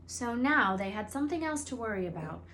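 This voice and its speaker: A woman reading a storybook aloud as a teacher, in an expressive narrating manner. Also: on the high side, a little fast, slight background noise.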